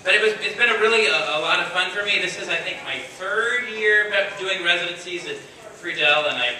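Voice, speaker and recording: A man giving an informal, halting thank-you speech to an audience as a visiting drama teacher. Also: high-pitched, pushed and bright. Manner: halting, repeating words. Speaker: visiting artist leading school drama residencies. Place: school stage before an audience. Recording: echoey hall, distant mic, audience noise.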